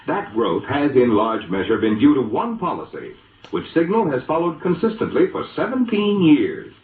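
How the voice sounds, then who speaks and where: An echoey, dull-sounding vintage radio recording carries an American man announcing a commercial in 1940s style. His pitch moves in lively swings as he delivers polished, emphatic scripted sales copy. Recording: vintage radio recording, roomy echo, muffled lo-fi.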